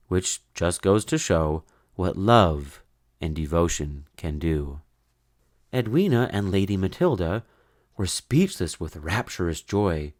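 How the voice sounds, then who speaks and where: A man narrating a rhyming children's story in an expressive, theatrical way. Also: low-pitched. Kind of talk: dramatic rhyming children's story read-aloud.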